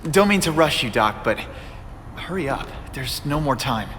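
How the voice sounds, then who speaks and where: Actor as wisecracking young American superhero, lively pitch. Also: male voice, normal speed, roomy sound, big-room sound, slight background noise, natural voice. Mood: urgent. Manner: sarcastic, quippy. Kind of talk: video game voice acting.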